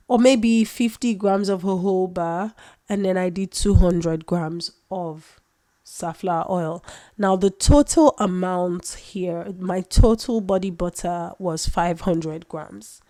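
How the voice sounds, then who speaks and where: Woman host, has a cold, mid-pitched, chatty and self-correcting. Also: slow pace, warm tone. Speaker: skincare maker, channel host. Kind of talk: casual tutorial vlog.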